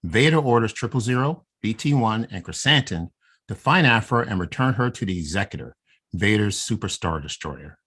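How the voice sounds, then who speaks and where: A man co-hosting a casual podcast, reading a story recap in a steady, plain way with small asides. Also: lowish voice, a little fast, husky. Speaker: podcast co-host.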